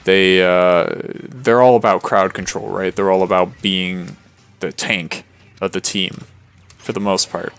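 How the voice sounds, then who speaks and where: A worn-out man speaks in a very low voice with plenty of gravelly fry. He rambles in a flat monotone, stalling on fillers and false starts as he plays and chats to his viewers. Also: measured pace, faint music behind. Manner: rambling, many fillers and restarts. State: tired. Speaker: streamer. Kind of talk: casual gameplay commentary.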